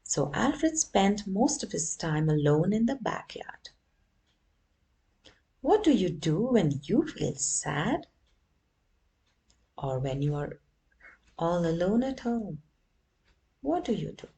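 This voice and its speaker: A woman's voice: on the low side, sing-song, takes it slowly, long pauses, mellow. A woman reading a children's story aloud and often stopping to ask her young listeners questions.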